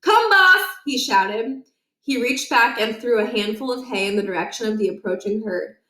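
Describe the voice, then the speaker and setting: A woman's voice: medium pitch, normal speed. Woman reading aloud in an echoey room. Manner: expressive, dramatizes the story. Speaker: storybook reader. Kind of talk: children's read-aloud narration. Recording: roomy, echoing indoor recording.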